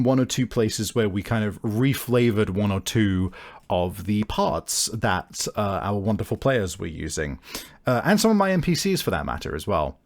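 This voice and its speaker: A man's voice: lowish voice, darkish tone. A man hosting and game mastering, improvising vivid descriptive narration for a tabletop game. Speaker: game master and podcast host. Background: background music.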